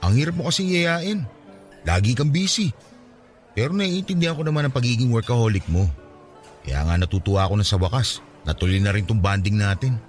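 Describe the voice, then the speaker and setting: A man with a Filipino accent playing a cocky but caring young best friend in a radio drama, bantering and expressive. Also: mid-range voice, a little fast, faint music behind, natural voice. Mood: mock-sulky, teasing.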